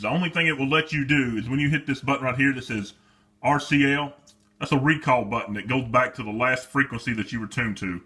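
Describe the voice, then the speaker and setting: A man's voice: mid-range voice, pushed and bright. A man giving a casual demonstration of a product as its seller, talking off the cuff.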